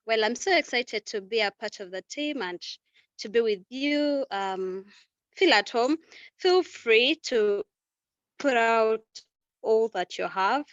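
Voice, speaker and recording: Brief: woman from Uganda, peer educator, welcoming tone, slightly high, dry muffled call audio. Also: no room sound. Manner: warm, welcoming. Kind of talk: brief introduction on a video call. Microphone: video call. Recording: dry, dull lo-fi sound.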